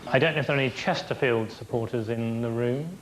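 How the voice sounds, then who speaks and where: A man's voice: medium pitch, fast. Posh english guy, dry after-dinner speech, echoey room, noisy. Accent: posh southern English. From England.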